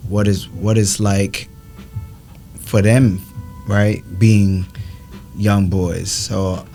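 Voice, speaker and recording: Slow-talking man co-hosting a podcast. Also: on the low side, gravelly. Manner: slow, affirming, drawn-out phrasing. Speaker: podcast co-host. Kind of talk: casual podcast chat.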